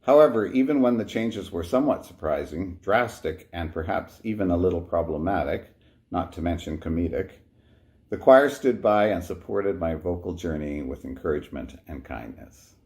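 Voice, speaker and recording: A man's voice: low voice, lively intonation, normal speed. A man hosting a show, introducing a performance, reading an excerpt aloud and telling a story about a choir member. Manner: warm storytelling, quoting himself and others. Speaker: host.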